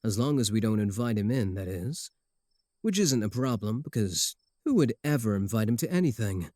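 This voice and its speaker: Sardonic male werewolf suitor, played by a man, comedic ASMR roleplay: slightly deep, possessive, taunting, recorded dry and close. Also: expressive, moderate pace, mellow, no echo, natural voice. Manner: taunting, sardonic, doting on the listener.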